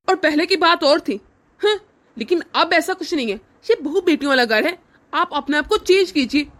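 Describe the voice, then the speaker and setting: A woman's voice: sits high, fairly bright tone. A woman voicing a principled young son in a cartoon family drama, indignant and pleading. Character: upright young grown son, defending his mother.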